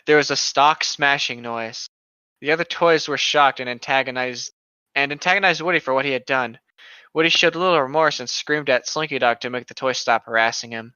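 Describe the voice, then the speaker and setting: A man's voice: medium pitch, brisk, bright, forceful tone, smooth, no room sound. A man co-hosting a show, reading a story aloud with casual, playful banter, recorded on a slightly dull home microphone. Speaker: podcast co-host reading and mocking internet horror stories.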